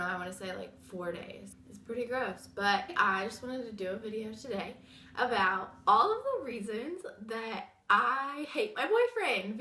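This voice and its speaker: A woman's voice: mid-range voice, pushed and bright, reverberant, a little hiss or hum. A young woman with a sick, wheezy voice, chatting and rambling through a casual story time vlog. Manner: chatty, rambling with many fillers. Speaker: vlogger.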